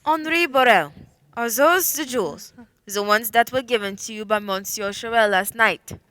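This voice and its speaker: A woman's voice: on the high side, normal speed, sharp tone, dry recording. A woman acting a stern, formal and commanding French police prefect in a radio play. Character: stern female French police prefect presiding over a case.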